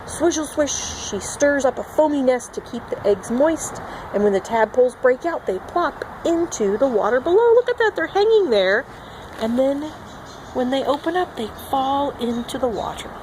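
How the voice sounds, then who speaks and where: A woman's voice: sits high, animated, normal speed, noisy background. A woman reading a picture book aloud to young children as a teacher, expressively, with simple asides and acted-out sound words.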